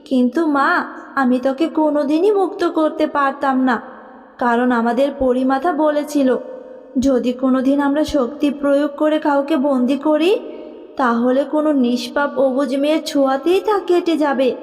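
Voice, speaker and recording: A woman's voice: high voice, steady tone, normal speed, darkish tone, big-room sound, natural voice. A woman voicing a loving, protective fairy mother in a cartoon, speaking tenderly. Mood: gentle.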